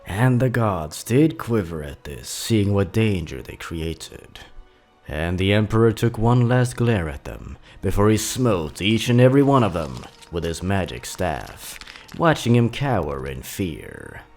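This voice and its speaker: A man's voice: sits low, very animated, a little slow, darkish tone, soft background music, exaggerated voice. A man voicing a folksy old grandmother who tells children an ancient tale in a theatrical way. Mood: ominous storytelling. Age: older.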